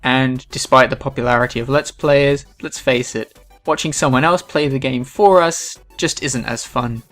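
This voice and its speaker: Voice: mid-range voice, moderate pace, a bit bright, soft background music. A video essay host giving scripted, opinionated commentary with an emphatic delivery.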